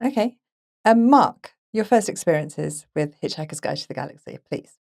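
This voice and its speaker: Female podcast host, lower register, conversational interviewer. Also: lively intonation, moderate pace, vocal fry, darkish tone.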